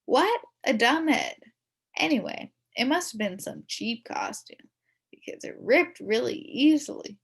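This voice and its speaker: A woman reading a children's story aloud in the first person as a kid, expressively, with dramatic emphasis and drawn-out words. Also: sits high, expressive, breathy edge. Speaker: storybook reader.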